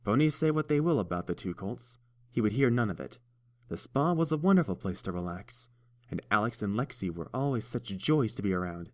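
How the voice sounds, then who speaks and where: A man reading a fan story aloud with a very low voice. His delivery is steady and even, paced like an audiobook. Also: fairly quick. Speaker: narrator.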